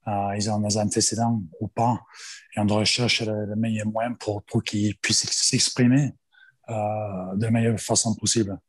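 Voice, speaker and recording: A man's voice: on the low side, flat delivery, normal speed, husky. A man in his forties with an Australian accent, a strength coach who speaks French hesitantly as a podcast guest, with many fillers and restarts. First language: English. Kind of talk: interview guest.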